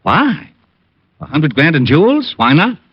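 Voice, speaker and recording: An actor playing a blunt, easily exasperated police detective in an old radio crime drama. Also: male voice, on the high side, huge pitch swings, a little slow, breathy edge, no echo, heavily acted. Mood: questioning. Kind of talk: 1940s-50s radio detective acting. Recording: dull vintage radio recording.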